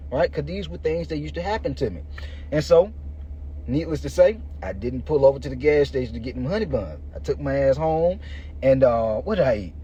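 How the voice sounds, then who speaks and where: A man's voice: on the high side, dark tone, breathy edge. A man in his thirties hosting a fitness podcast, giving an informal motivational monologue in slangy, colloquial language aimed straight at listeners. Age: 30s. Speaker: podcast host and fitness coach. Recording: muffled, noisy lo-fi recording.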